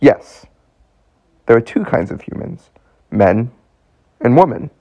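A man's voice: mid-range voice, very animated, slow pace, warm tone, highs cut off, exaggerated voice. A man in a radio play acting as a hesitant, earnest and bewildered man of twenty-six.

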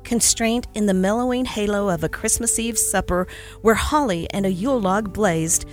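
A woman narrates a classic story aloud with expressive, lilting delivery. Her pitch moves in a lively way through each sentence. Quiet music plays in the background. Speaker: podcast host and story narrator.

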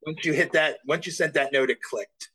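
A man's voice: on the high side, moderate pace, bright, forceful tone, breathy edge. A middle-aged man as an interview guest, talking earnestly and self-deprecatingly with many restarts. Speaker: voice actor guest. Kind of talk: casual interview chat.

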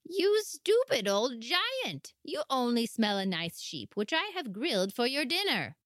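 A man voicing a sharp-tongued giantess wife in a storybook reading, with shrill scolding. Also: wildly swooping pitch, measured pace, no room sound, clean studio sound, exaggerated voice.

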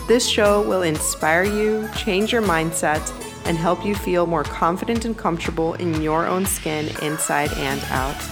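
A young woman hosting a solo podcast, congested from a cold, talking in a chatty, candid way with fillers. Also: fairly low pitch. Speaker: podcast host. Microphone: home podcast microphone.

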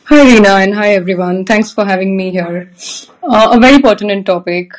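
A woman's voice: mid-range voice, brisk, rough recording. A woman speaking as an energy expert on a discussion panel, enthusiastic, with frequent fillers and restarts. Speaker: panel guest, energy manager.

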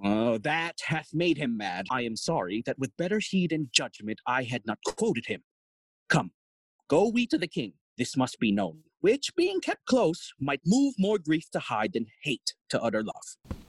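A man's voice: mid-range voice, sing-song, a little slow, slightly rough, dry recording, low-quality recording. An actor playing a fussy, long-winded older father and royal counsellor in a theatrical Shakespearean audio play. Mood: urgent, regretful. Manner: wordy, theatrical.

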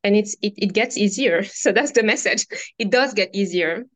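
A woman's voice: mid-range voice, normal speed. A woman from France with a French accent, a guest on a podcast, answering earnestly with fillers and repeated phrases. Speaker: podcast guest, brokerage founder. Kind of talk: casual interview answers.

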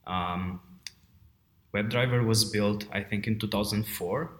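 A man's voice: lowish voice, a little slow, fairly bright tone. A man from Portugal, answering interview questions conversationally as a guest. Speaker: guest, head of quality assurance. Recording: roomy, echoey sound.

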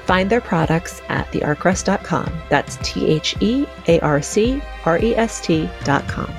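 A woman co-hosting a podcast, reading sponsor ads in an even, scripted way. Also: lowish voice, unhurried, music in the background. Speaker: podcast co-host.

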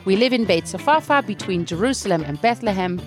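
A woman's voice: mid-range voice, lively intonation, fairly quick, soft background music. A woman from the Netherlands hosting a podcast, speaking in a conversational presenting style. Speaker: podcast host.

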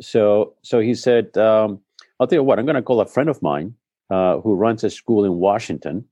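A middle-aged man from Spain, whose first language is Spanish, speaking in a relaxed interview and telling his story thoughtfully, often restarting his sentences. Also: fairly low pitch, normal speed, dry recording. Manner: reflective storytelling with frequent restarts. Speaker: head of school, guest. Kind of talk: conversational interview.

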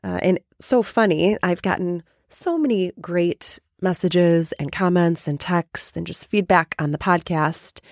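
A woman life coach hosting a podcast and explaining a method step by step, with a hoarse, raspy voice from a cold. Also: on the low side, a little slow, few pauses, no room sound, clean studio sound. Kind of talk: casual coaching talk.

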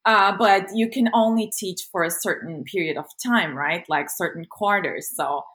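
A woman's voice: mid-range voice, normal speed, fairly bright tone. A woman from Turkey, a native Turkish speaker, talking as a guest in a casual interview, hesitant and full of fillers. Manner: hesitant, many fillers, laughs easily. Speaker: guest, content creator.

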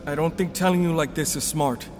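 A man's voice: a little high, fairly quick, close to an everyday voice. An actor playing a guarded, cautious male rebel in a sci-fi radio drama, serious, clipped and commanding. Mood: somber. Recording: loud music under the dialogue. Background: loud music.

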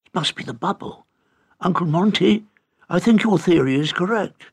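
A male reader plays a cheeky teenage boy, a bit higher than his own voice. The delivery is lively and teasing, and the pitch moves freely with some gravel in it. The style is that of an audiobook character. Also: normal speed, full character voice. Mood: excited. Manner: teasing, excitable.

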